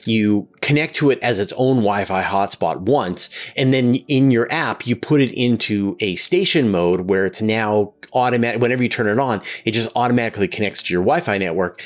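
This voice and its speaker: A man hosting a science show, explaining things to viewers in a casual, conversational way. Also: lowish voice. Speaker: host of an astronomy Q&A show.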